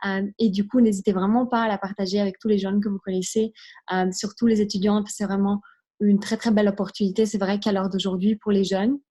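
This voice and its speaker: A woman's voice: medium pitch, level tone, brisk, warm tone. A young woman from Switzerland, speaking French with a light Italian accent. She hosts an online video conference and tells her own story in a chatty, filler-filled way, with small laughs scattered through her speech. Her voice comes over a video call. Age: 20s. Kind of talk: testimonial presentation.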